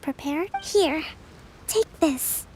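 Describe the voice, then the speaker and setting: A young female strategist from an anime game, with a high voice. She is composed and studious, choosing formal words at a measured, courteous pace. Faint noise sits behind her. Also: a little mellow. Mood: calm, thoughtful. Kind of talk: anime game character dialogue.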